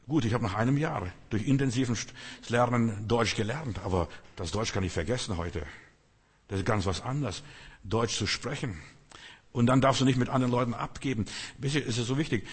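Casting: non-native male preacher, husky voice, chatty delivery, echoey church hall. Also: medium pitch, brisk. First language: not German. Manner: conversational preaching, frequent "verstehst du?" asides. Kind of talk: sermon.

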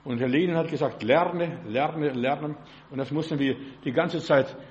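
A man's voice: a little high, normal speed, a little mellow, lo-fi sound. A man in his late seventies from the former Soviet Union preaching a sermon in an exhorting, repetitive manner, as a with frequent short affirming tags.

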